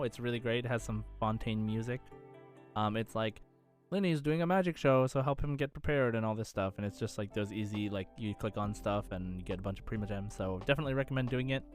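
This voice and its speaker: Chatty man podcasting over background music. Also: mid-range voice. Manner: rambling, informal, frequent "like". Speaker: podcast co-host. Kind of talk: casual chat about video game news.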